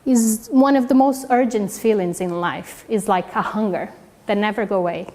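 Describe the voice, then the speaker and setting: A woman's voice: medium pitch, normal speed, darkish tone. A young woman from Brazil, a native Portuguese speaker with a Brazilian accent, giving an earnest, heartfelt prepared speech with small grammar slips. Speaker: PhD student giving a speech. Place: hall with a live audience. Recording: reverberant hall.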